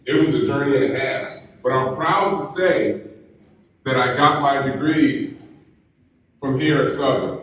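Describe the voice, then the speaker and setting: A man giving a graduation keynote, telling stories in a slow, deliberate way. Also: medium pitch, fairly bright tone. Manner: storytelling, slow deliberate delivery. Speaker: keynote speaker. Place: university ceremony hall. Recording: echoey hall, mic heard from a distance.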